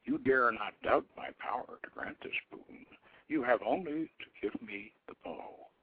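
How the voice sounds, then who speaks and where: A man's voice: medium pitch, expressive, unhurried, narrow, tinny audio, slight background noise, natural voice. A man voicing a seductive, imperious war goddess in a storybook reading, proud and menacing, with whispery, commanding speech. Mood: coaxing, proud.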